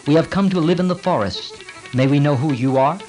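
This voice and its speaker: A man voicing a calm and courageous young prince speaking courteously. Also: fairly high pitch, fairly quick, soft background music, lightly acted.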